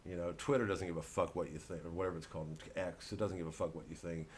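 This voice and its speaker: A man's voice: low voice, fairly even intonation, quick pace, a little hiss or hum. A male comedian rambling through a blunt, indignant stand-up rant, swearing freely.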